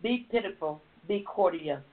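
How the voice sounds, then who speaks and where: Hoarse woman leading prayer by phone. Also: fairly low pitch, lively intonation, moderate pace. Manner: fervent, repeats praise words often. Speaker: prayer line host. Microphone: phone call. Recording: tinny phone line.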